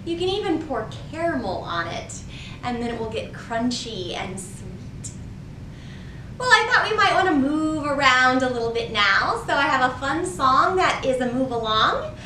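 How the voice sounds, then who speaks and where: A woman's voice: high-pitched, big pitch swings, normal speed, sharp tone, slight background noise, low-quality recording. A young woman hosting a children's storytime, explaining things simply in a sing-song way to small children.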